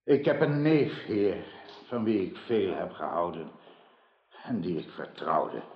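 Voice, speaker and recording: An old king in a radio drama, betrayed and sorrowful. He speaks very slowly and solemnly, in a low voice, on a dull, roomy recording. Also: sing-song, exaggerated voice. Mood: bitter, sorrowful. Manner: solemn, halting, archaic speech.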